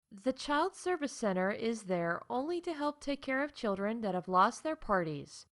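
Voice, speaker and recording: Animated theme park announcer. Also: female voice, on the high side. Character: upbeat female theme park announcer in space. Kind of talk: scripted comedic announcements.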